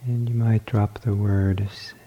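A man leading a guided loving-kindness meditation, speaking softly and trailing off into whispers. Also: fairly low pitch, level tone, lo-fi sound. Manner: slow, soft, trailing into whispers. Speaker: meditation teacher.

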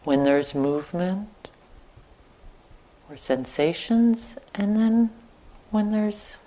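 A woman teaching guided meditation, speaking gently and leaving long pauses between short phrases. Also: medium pitch, animated. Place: meditation retreat center.

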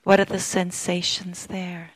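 A woman teaching meditation, giving gentle, unhurried guided instructions with long pauses between phrases. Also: fairly low pitch, monotone, no room sound, poor audio.

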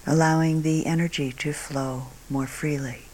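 A woman meditation teacher guiding a meditation calmly and unhurriedly, in short phrases with long pauses, her voice soft and breathy at phrase ends. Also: sits low, low-quality recording. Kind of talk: guided meditation.

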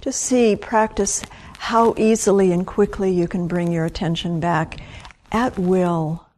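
A woman leading a guided meditation as a teacher, gentle and unhurried, leaving long pauses between short phrases. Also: lowish voice, fairly even intonation.

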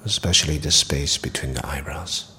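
A man teaching guided meditation, speaking softly and breathily in short, unhurried phrases with long pauses, often trailing toward a whisper. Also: rumbling low, a little hiss or hum.

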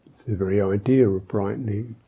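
A man teaching guided meditation as a monk, speaking in a gentle, thoughtful way with long pauses between phrases. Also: lowish voice, fairly even intonation, husky.

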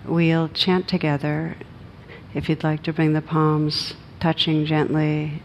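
A low-voiced woman leads a guided meditation with very little rise and fall in her pitch. She gives gentle, unhurried instructions and leaves long pauses between phrases. A steady background noise with a low rumble sits behind her voice. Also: dull audio. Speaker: meditation teacher.

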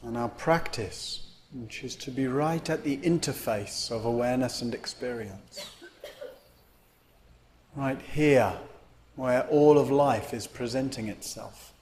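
A man leading a guided meditation as a teacher, giving measured instructions in short phrases with pauses between them. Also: medium pitch, a little mellow. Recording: clear background noise, room ambience.

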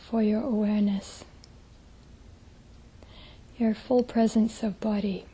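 Woman teaching meditation, super mellow and breathy, really slow w long gentle pauses. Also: medium pitch, rough recording. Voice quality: soft, breathy. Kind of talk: guided meditation.

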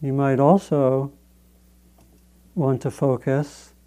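Guy teaching meditation, really slow and mellow, gentle measured delivery w long pauses. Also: mid-range voice, flat delivery. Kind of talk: guided meditation instruction.

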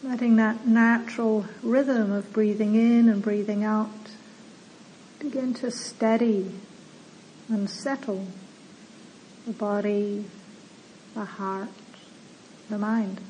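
A woman meditation teacher leading a guided meditation in a gentle, soothing manner, with long pauses between slow phrases. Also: mid-range voice, steady tone, low-quality recording. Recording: clear background noise.

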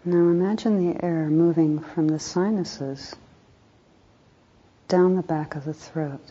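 A woman meditation teacher leading a guided meditation in a soft, calm voice, unhurried, with pauses between short phrases. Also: on the low side. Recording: dull, muffled lo-fi recording.

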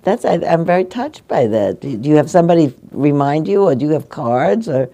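Older woman, meditation teacher, mellow and gentle, halting delivery. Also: low-pitched, very animated, highs cut off. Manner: gentle, halting, restarts often. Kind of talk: dharma talk with audience questions. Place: meditation hall.

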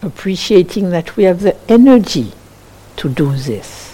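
A woman meditation teacher leading a guided meditation in sparse phrases with long pauses between them. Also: lowish voice, big pitch swings, mellow, slightly rough, rough recording.

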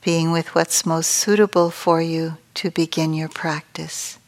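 A woman meditation teacher leading a guided meditation in a gentle, unhurried way with long pauses, her voice soft and turning breathy as phrases end. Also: low voice, flat delivery.

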